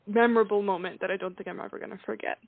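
A woman's voice: medium pitch, fairly even intonation, racing, gravelly, no room sound. A young woman from Croatia, a singer guest, chatting easily with many likes and ums. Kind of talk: casual interview chat. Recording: dry, phone-like line.

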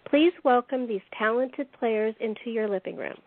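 A woman host narrating a radio play, reading the script deliberately, phrase by phrase. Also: a little high. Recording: dry, tinny phone-line audio.

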